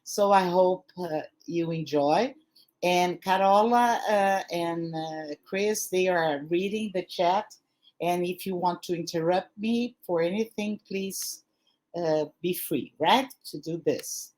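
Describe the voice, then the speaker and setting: Brazilian woman, lowish voice, hesitant webinar lecturer, lots of fillers and restarts. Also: slow, darkish tone. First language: Portuguese. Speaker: guest lecturer.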